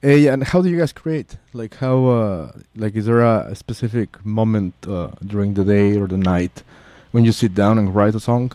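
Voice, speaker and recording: A man's voice: lowish voice, normal speed, few pauses, warm tone, no room sound. A man interviewing casually, feeling his way through questions and offering agreeable, affirming replies.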